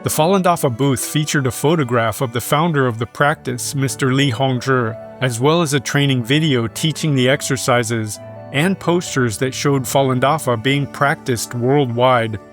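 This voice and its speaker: A man reading scripted news in a measured, formal manner. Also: medium pitch. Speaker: news reader.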